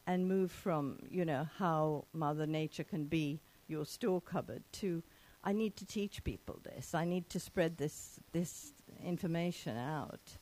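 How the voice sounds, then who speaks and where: Low, mellow-voiced English woman host. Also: a little slow, hardly any pauses. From England. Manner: rambling questions with fillers. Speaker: podcast host. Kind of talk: casual interview.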